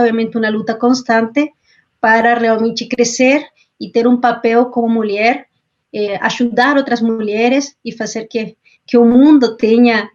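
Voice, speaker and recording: A woman's voice: fairly high pitch, darkish tone, muffled recording. Chilean woman speaking Portuguese, hesitant. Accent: Chilean Spanish. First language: Spanish. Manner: hesitant, frequent fillers and restarts. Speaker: panelist, marketing director. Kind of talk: panel talk.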